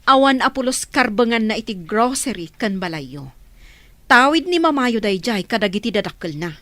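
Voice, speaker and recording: An actress playing a concerned, brisk and kind businesswoman in an Ilocano radio drama. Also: fairly high pitch, big pitch swings, a bit bright, no room sound. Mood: firm, indignant. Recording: music under the voice.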